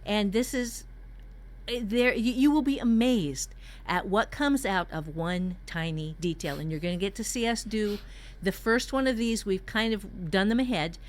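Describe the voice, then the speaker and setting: A woman's voice: medium pitch, normal speed. A woman teaching a writing workshop in a conversational way, candid and joking as she explains. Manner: candid, jokey, mildly profane.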